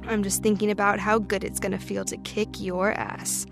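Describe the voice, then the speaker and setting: A sassy young heroine, played by a woman, talks back defiantly as a hostage in an audio drama. Her voice is medium in pitch and sarcastic, with clear music behind it. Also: little pitch movement, normal speed, close to an everyday voice. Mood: sarcastic threat.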